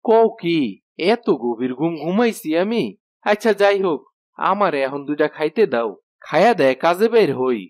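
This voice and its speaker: A man's voice: fairly high pitch, big pitch swings, moderate pace, no room sound, studio quality. A man voicing an easygoing village husband in a fairy-tale cartoon, with a colloquial Bangladeshi accent and rustic, casual talk. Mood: surprised.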